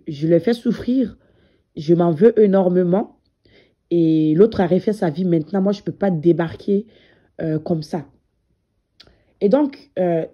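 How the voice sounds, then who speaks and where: A woman's voice: lowish voice, dark tone, highs cut off. A woman with a cold, speaking directly to viewers as a spiritual medium and coach, hesitant and full of fillers. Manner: hesitant, many fillers, repeats words. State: has a cold. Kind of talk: direct-to-camera spiritual talk.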